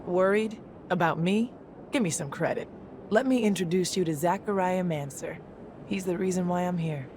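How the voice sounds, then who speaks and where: A woman's voice: on the low side, normal speed, lightly acted. An actress playing a cocky, wry young woman outcast fighter in a video game, teasing and self-assured. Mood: wry, confident. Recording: game dialogue over loud ambient noise.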